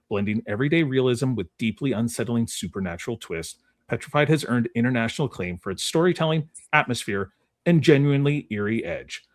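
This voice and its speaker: A man hosting a podcast in a casual, conversational style. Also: mid-range voice. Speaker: podcast host.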